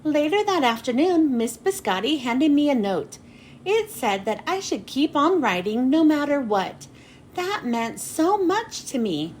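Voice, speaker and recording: A woman narrating a children's storybook expressively, with dramatic pauses and drawn-out phrase endings. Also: high voice, very animated. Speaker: children's book read-aloud host. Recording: noticeable background noise.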